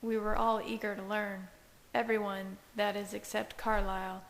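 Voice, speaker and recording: A woman's voice: mid-range voice, flat delivery, normal speed, big-room sound. A woman reading scripted fiction aloud as an amateur audiobook narrator. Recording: hissy home recording.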